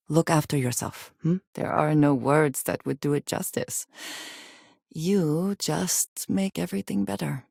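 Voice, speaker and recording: An actress playing a guarded, intense female space explorer in a video game, speaking in formal, measured, deliberate phrases. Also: low voice, gravelly, a little mellow, no room sound, clean studio sound. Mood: warm, sincere. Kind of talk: video game character dialogue.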